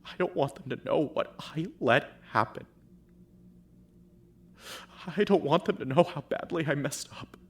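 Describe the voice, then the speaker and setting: A man voicing a shaken teenage boy in a fan audio drama, who talks haltingly and stumbles over repeated words. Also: a little high, breathy edge. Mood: guilty, pleading.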